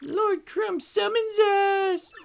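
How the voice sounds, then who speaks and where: A man voicing a frantic villain's minion in a video game, shouting shrilly. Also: very high-pitched, very slow, vocal fry, pitched above the performer's own voice, exaggerated voice.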